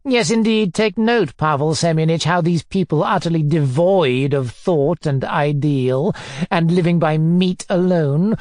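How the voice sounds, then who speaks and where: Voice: medium pitch, darkish tone, no room sound, studio quality, close to an everyday voice. Reader playing a pompous, bullying man. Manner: pompous, majestic nonchalance, hectoring questions. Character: pompous, bullying middle-aged man, sneering and self-important. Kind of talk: audiobook character dialogue.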